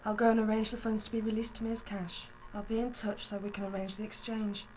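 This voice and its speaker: Flat, guarded tough woman acted. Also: mid-range voice, fast, soft background music, lightly acted, rough recording. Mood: grudging. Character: stern, warlike, reluctant mother seeking help. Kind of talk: comic audio drama acting.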